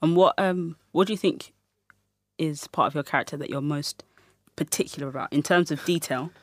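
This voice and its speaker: A man's voice: on the high side, moderate pace, no room sound. A man conducting a casual interview, asking questions in an encouraging way.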